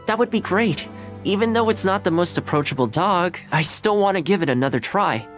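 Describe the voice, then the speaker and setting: Game role: kind young guy, animated and gently coaxing, music underneath. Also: high-pitched, fairly quick. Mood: concerned, hopeful. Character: friendly, caring, patient young man coaxing a dog. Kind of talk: video game character dialogue.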